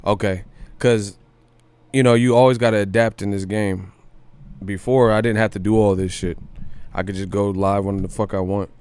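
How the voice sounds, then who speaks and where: A man's voice: fairly low pitch, fairly even intonation, normal speed, a little mellow, clear, resonant tone. A man hosting a boxing news livestream, talking casually in slang with some swearing and repeating phrases for emphasis. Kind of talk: casual live-stream commentary.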